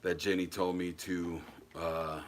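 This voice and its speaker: A man's voice: deep voice, little pitch movement, unhurried, slight background noise. A man hosting a casual livestream chat, rambling with lots of ums and restarts. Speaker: paranormal channel host.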